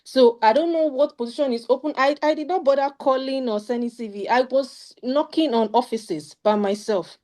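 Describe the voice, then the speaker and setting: A woman's voice: high-pitched, moderate pace, few pauses, a little mellow. A young woman with a Nigerian accent sharing her study-abroad experience in an earnest, emphatic way on a video call. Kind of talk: casual panel talk.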